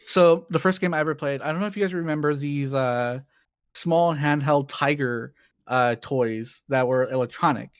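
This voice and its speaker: A man's voice: on the high side, normal speed, smooth, dry recording, studio quality. A man in his late twenties, a streamer, chatting casually as an interview guest, rambling a bit and laughing easily. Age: 20s.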